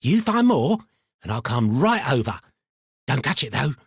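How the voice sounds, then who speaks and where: An eccentric miner from a fantasy video game, chatty and a little unhinged. His pitch swoops about freely as he rambles and mutters in colloquial phrases, sliding between chummy and suspicious. The recording is close and dry. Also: very animated, measured pace, slightly rough, dry recording, heavily acted. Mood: chummy, animated. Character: eccentric, paranoid, chatty mad miner, adult man. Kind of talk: video game character voice lines.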